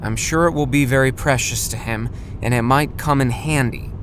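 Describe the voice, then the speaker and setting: A man playing a bemused, cautious middle-aged dad with a wry, halting manner in a children's audio drama. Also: mid-range voice, noisy background, lightly acted. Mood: intrigued.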